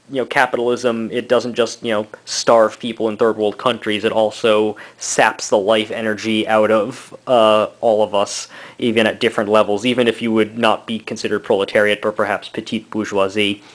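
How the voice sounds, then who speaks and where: A man in his thirties giving a casual, rambling review, halting often with fillers and short laughs. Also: fairly low pitch. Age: 30s. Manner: laughs between thoughts. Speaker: book and comic reviewer.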